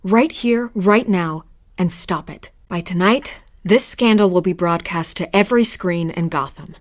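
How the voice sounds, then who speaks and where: An actress voicing a persistent, candid news reporter in a video game, blunt, informal and persuasive. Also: female voice, lowish voice, unhurried, no room sound, studio quality, lightly acted. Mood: urgent, insistent.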